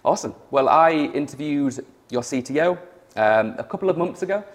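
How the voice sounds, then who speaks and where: Mild Yorkshire podcast host. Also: male voice, a little high, animated. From York, England. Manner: friendly, affirming "yeah", halting restarts. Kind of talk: interview podcast.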